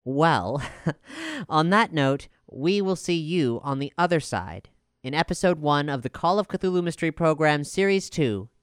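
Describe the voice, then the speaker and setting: A man's voice: a little high, sing-song, unhurried, clear, resonant tone, dry recording, studio quality. A man hosting an audio show, delivering a sign-off to listeners. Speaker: host.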